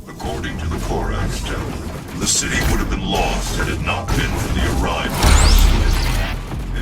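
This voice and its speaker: A man playing a grave, portentous sage who guides a warrior in a video game, with a gravelly voice. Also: low-pitched. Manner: solemn, portentous. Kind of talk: scripted video game cutscene narration. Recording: distant voice under loud game score.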